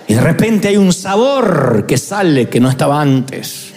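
A man in his fifties preaching a fervent sermon as pastor, building up to shouts. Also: fairly high pitch, sing-song, measured pace. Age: 50s. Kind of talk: impassioned sermon.

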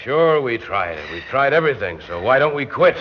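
An actor playing a weary, mild underling, plain-spoken and placating, in a classic radio drama. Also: male voice, medium pitch, big pitch swings, fairly quick. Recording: dull, noisy old radio recording.

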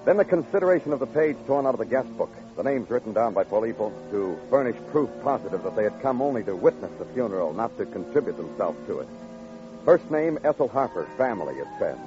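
An actor playing a world-weary police detective in an old radio drama, speaking in a clipped, dry manner. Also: male voice, fairly low pitch, big pitch swings, fast talker, music in the background. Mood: wry, somber. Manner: clipped, dry, literary narration. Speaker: narrator and lead actor. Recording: muffled, noisy old radio recording.

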